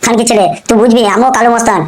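A woman's voice: on the high side, little pitch movement, racing, heavy background noise, natural voice. A woman voicing a short-tempered, foul-mouthed male gangster boss in a comic cartoon, blustering and repeating curses. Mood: menacing. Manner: blustering, curse-laden, repetitive. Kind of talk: amateur comic cartoon dialogue.

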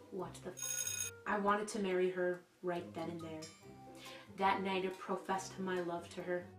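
A young woman reading a mock confession in a deadpan, earnest, dramatic style. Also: on the low side. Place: small room indoors. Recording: roomy echo. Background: clear music.

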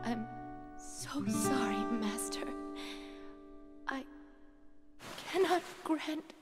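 An actress playing a gentle, anxious young female genie in a video game, earnest, halting and emotional. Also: sits high, animated. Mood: weak, sorrowful. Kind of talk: dramatic video game acting. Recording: very loud music behind.

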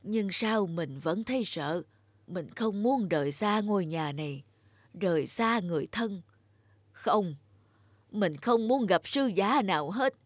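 A woman's voice: medium pitch, animated, measured pace, breathy edge, no room sound. A woman voicing a frail, anxious old grandfather in a folk-tale cartoon, with hesitant, fearful delivery. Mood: fearful brooding.

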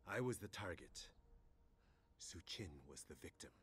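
A man playing a grave, resolute middle-aged warrior father in a video game, speaking in a measured, formal way. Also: low-pitched, big pitch swings, fairly bright tone, slight background noise, exaggerated voice. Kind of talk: dramatic video game acting.

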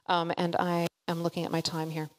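A woman's voice: on the low side, completely flat delivery, brisk. A woman in her thirties giving an informal keynote speech on a handheld mic, earnest and emphatic, repeating phrases for emphasis. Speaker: keynote speaker. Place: hall with seated audience. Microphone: handheld microphone held close at a podium.

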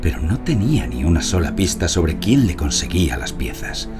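Over music, a shrewd undercover archaeologist in an audio drama. His man's voice sits low and moves with lively intonation as he calculates and bargains. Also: moderate pace. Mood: brooding, calculating. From Germany. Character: shrewd, haggling German archaeologist undercover as beer exporter. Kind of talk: scripted audio drama dialogue and narration. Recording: music behind the voice.

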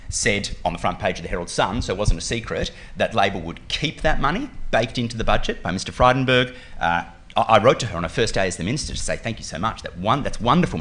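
A man's voice: lowish voice, fairly bright tone, slight background noise. A middle-aged man speaking as a politician at a public forum, confident and politely combative, into a desk mic in a meeting room. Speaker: politician on a panel. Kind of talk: public forum panel answer. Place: meeting room with panel table. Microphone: desk microphone on stand.

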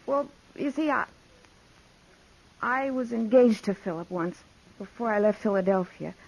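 An anxious young saloon girl in an old radio western. The voice is medium in pitch and carries a sense of shame and worry. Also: vocal fry, darkish tone, muffled recording. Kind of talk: 1950s radio western acting. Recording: 1950s radio drama recording.

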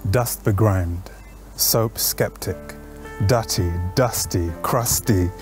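Male poet, low voice, very slow deliberate reading, music and rain behind. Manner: slow, rhythmic recitation. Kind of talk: poetry reading.

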